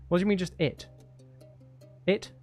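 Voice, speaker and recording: A man's voice: high voice, fairly even intonation, moderate pace, darkish tone, faint music behind. Man muttering casually through a game stream. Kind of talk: casual live gaming stream chat.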